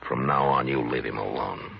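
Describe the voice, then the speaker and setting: An actor playing a stern, watchful U.S. Marshal in an old radio western, speaking tersely and with authority. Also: male voice, a bass voice, moderate pace, gravelly, exaggerated voice. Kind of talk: old-time radio western drama acting. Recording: muffled, noisy old radio recording.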